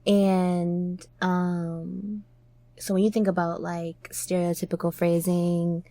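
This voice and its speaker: A woman's voice: lowish voice, level tone, slow pace, mellow, dry recording. A woman podcast host chatting casually and reflectively, informal and emphatic, often checking in with her listener.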